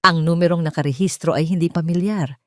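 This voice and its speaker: A woman reading a scripted story as narrator, with a measured, dramatic delivery. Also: on the low side, dry recording, studio quality.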